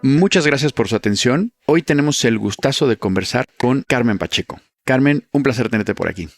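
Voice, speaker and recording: A man hosting a podcast, reading a scripted introduction in a measured, announcer-like way before interviewing his guest. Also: mid-range voice, expressive, soft background music. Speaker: podcast host.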